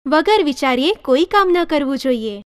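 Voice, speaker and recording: A woman reading a scripted children's story as narrator, in an expressive storytelling manner. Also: sits high, normal speed, no echo, clean studio sound, soft background music.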